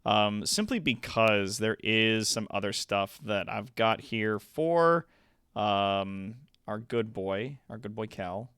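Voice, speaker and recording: A man's voice: on the low side, slow, a bit bright, smooth, no room sound, studio quality. A man hosting a livestream with casual, informal, sweary chat. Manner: profane, informal. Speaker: livestream host.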